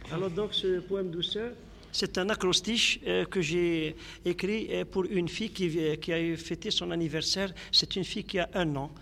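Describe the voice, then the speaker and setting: A man's voice: high-pitched, steady tone, normal speed, vocal fry, a bit bright, in a large hall. A man from Algeria, a poet, speaking in a radio interview and reciting his poems in a lyrical, grandiloquent way. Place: radio studio.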